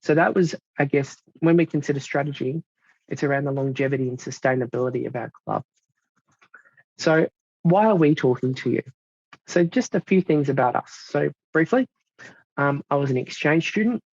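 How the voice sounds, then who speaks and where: An Australian man in his thirties giving a club presentation, halting with many fillers. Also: mid-range voice, vocal fry, darkish tone, no echo. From South Australia. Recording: dull dry lo-fi sound.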